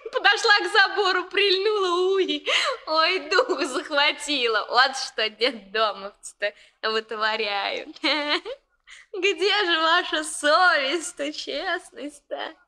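An actress playing a brash, teasing, excitable young village woman with saucy, exclamatory folk speech in a 1960s radio drama. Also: very high-pitched, slow, heavily acted. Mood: scandalized, gleeful.

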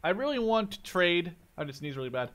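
Guy in his 20s, slightly high voice, casually talking himself through a game, kinda sweary. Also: sing-song, fairly quick, fairly bright tone. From Canada-based Dutchman. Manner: informal, profane, thinking aloud. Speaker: gaming video host. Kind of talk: casual gameplay commentary. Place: indoors, voice over gameplay.

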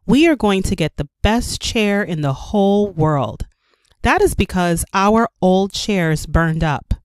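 A woman narrates a children's picture book in a careful, expressive way. Her pitch sits in the middle for a woman. The sound is dry and close, with no room around it. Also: takes it slowly, clean tone, dry recording. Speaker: storyteller reading a children's picture book aloud. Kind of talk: scripted read-aloud narration.

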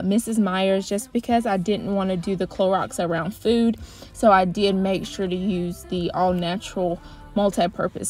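A woman in her thirties chatting casually in a voice-over for her cleaning vlog, relaxed and full of fillers. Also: mid-range voice. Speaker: cleaning vlogger.

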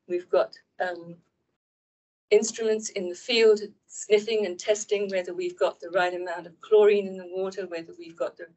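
A woman with a New Zealand accent, a manager, explaining a technical system to a council in a quiet, measured way. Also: lowish voice, low-quality recording. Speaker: asset information manager. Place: large council meeting room.

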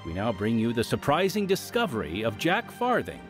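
Radio drama host guy, dramatic scripted read, lively pitch, music behind him. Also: brisk. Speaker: announcer and host.

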